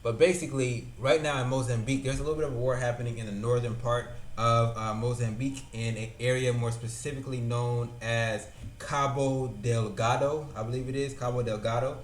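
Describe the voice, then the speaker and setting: A man's voice: mid-range voice, fairly even intonation, fairly quick. A young man casually explaining a news event as a vlogger, talking loosely with many fillers and repeated words. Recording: echoey room with faint ambience.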